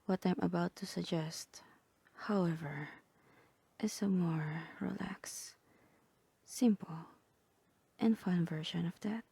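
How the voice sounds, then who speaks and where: A woman voicing a calm, composed therapist in a soft, breathy, half-whispered ASMR roleplay, measured and clinical. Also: fairly low pitch, dry recording, full character voice. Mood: soothing, professional. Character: calm, composed female therapist guiding a patient through roleplay.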